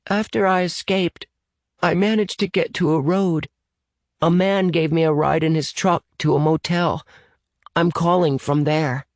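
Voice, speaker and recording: Frightened little boy, played by a male narrator, high and thin, tearful, shaky, for an audiobook. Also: fairly even intonation, no echo, clean studio sound. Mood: shaken. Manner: halting, tearful, youthful slang.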